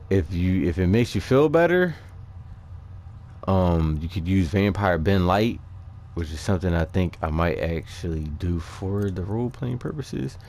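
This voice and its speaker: A man with a low-pitched voice presents a gaming video as its host. He speaks informally and conversationally, as if to regular viewers. His delivery is a little hesitant as he casually explains things over game footage. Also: lively intonation, a little slow, darkish tone. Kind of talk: casual game build commentary. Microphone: voice-over on a microphone over game capture.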